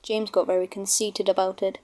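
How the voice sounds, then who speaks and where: A woman's voice: medium pitch, level tone, brisk, a little mellow. A woman narrating a children's storybook from a script.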